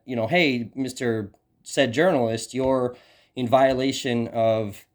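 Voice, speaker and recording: An American man working as a journalist questions an official in a halting, persistent way, often repeating words as he presses his point. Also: medium pitch, a little mellow. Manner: persistent, challenging. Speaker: independent journalist. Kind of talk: questioning an official in a phone interview.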